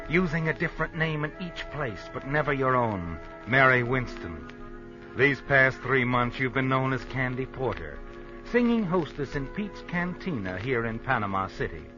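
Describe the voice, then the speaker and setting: An actor playing an ironic, knowing narrator of a 1950s radio suspense drama, taunting and deliberate. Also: male voice, mid-range voice, close to an everyday voice. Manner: taunting, deliberate, addresses the character as you. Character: ironic, knowing male narrator addressing a guilty woman. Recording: muffled old radio broadcast with music. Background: music.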